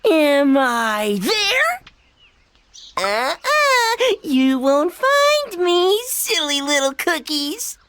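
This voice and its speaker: A woman's voice: high-pitched, sing-song, very slow, fairly bright tone, a little hiss or hum, full character voice. A woman voicing a cheeky, boastful little trickster creature in a cartoon game, teasing and theatrical with a singsong delivery.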